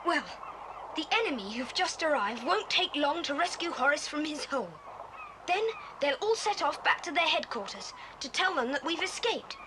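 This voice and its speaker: A plucky, bossy boy in a children's adventure tape. The voice is very high and animated, with a commanding edge. Faint cassette hiss sits behind it. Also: moderate pace. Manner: decisive, commanding. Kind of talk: children's adventure audio drama acting.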